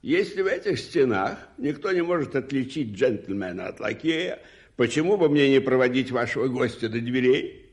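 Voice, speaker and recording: An actor in a radio play voicing a lordly English club gentleman, deliberate and emphatic in his speech. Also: mid-range voice, expressive, slightly rough. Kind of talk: 1980s radio play acting.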